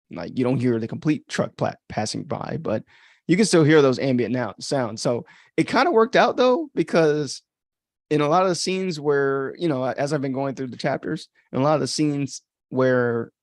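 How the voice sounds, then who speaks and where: A man's voice: medium pitch, vocal fry, a little mellow, no room sound, studio quality. An American man giving casual, off-the-cuff commentary as a podcast host, chatty and informal with reflective asides.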